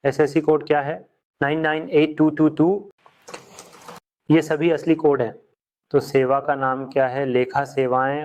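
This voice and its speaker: A man teaching accounting software step by step, repeating each key point as he goes. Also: mid-range voice. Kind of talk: screen-recorded software lesson.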